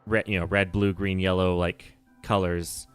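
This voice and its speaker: A man with a low voice talks loosely through a game livestream. He rambles, stops to correct himself, and laughs easily. Soft music plays faintly behind him. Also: level tone, normal speed, clear, resonant tone. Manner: rambling, self-correcting, nerdy, laughs often. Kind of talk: casual livestream chat while playing.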